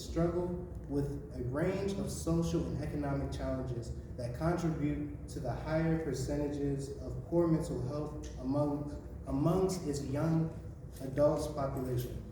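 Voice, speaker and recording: A raspy young man, reading a prepared speech aloud, halting and restarting misread phrases. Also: fairly high pitch. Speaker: student presenter. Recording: echoey room, voice from far off, noisy.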